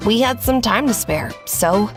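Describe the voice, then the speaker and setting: A woman's voice: mid-range voice, animated, a little slow, music in the background, close to an everyday voice. A woman playing a polite, grateful young surfer in a fantasy game. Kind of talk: animated fantasy game acting.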